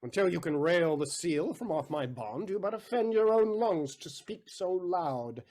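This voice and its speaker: A man's voice: high voice, expressive, unhurried, darkish tone. A man acting a vengeful, stubborn moneylender in a Shakespeare audio play, with emphatic, defiant theatrical delivery.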